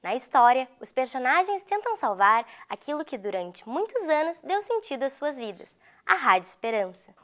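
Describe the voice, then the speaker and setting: A woman's voice: high voice, sing-song, moderate pace, a bit bright. A woman reading scripted narration for an arts programme.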